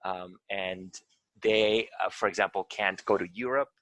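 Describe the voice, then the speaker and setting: A man's voice: deep voice, fairly even intonation, unhurried, a bit bright, no echo, low-quality recording. A man from Venezuela, an investigator, leading a live online tutorial over a video call, talking with many ums and uhs.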